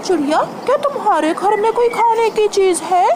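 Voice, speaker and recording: Woman voicing a very high, kindly cartoon parrot. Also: animated, normal speed. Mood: urgent. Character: kindly, hospitable male parrot, eager to help. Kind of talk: cartoon story voice acting. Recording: noisy ambient background.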